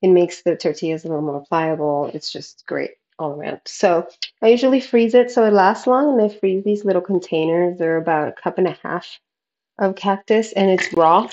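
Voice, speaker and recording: A woman's voice: lowish voice, normal speed, a little mellow, lo-fi sound. A woman in her thirties casually explaining a recipe in an informal way, recorded in a home kitchen. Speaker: home cooking vlogger.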